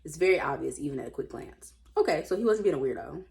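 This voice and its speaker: A woman's voice: low-pitched, lively intonation, fast talker, vocal fry, darkish tone. A woman reading stories aloud casually, breaking off for playful, slangy, off-the-cuff reactions. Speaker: host reading Reddit stories. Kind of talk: casual read-aloud with chatty off-the-cuff commentary.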